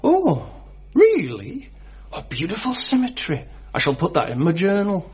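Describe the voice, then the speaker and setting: An actor playing a creepy, morbid pathologist in a radio comedy drama, talking in a pedantic, earnest and oddly formal way. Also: male voice, high voice, wildly swooping pitch, unhurried, a little mellow, husky, muffled recording, full character voice. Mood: delighted. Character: creepy, morbid male pathologist who befriends corpses.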